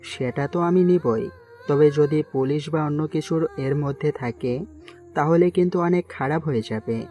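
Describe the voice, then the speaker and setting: A man playing a calm, calculating mafia king who gives measured orders in an audio story. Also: fairly high pitch, faint music behind, close to an everyday voice. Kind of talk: amateur Bengali audio-story acting.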